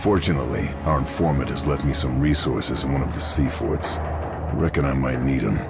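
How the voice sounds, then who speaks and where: Very deep, stoic wartime sniper. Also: male voice, a little fast, vocal fry, over loud music. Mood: grim, resolute. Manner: terse, deadpan. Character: gruff, stoic male WWII sniper agent narrating his war. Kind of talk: scripted cinematic game narration.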